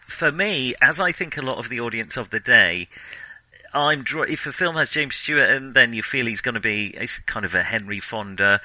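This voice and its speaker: Bright, forceful man discussing film. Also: medium pitch, dry recording, rough recording. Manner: halting, digressive, self-correcting. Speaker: film discussion podcast co-host.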